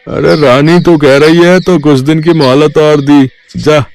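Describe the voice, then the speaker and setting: A man playing a lecherous, bullying old landlord in a story, sneering, domineering and gravelly. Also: fairly high pitch, fairly even intonation, takes it slowly, darkish tone. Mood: smug.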